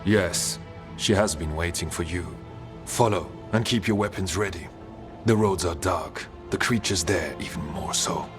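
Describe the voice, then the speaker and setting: A man voicing a solemn, guarded dwarf guide in a video game, speaking in formal, measured, cryptic phrases. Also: low-pitched. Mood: solemn, grave. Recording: music behind the voice. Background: game soundtrack music.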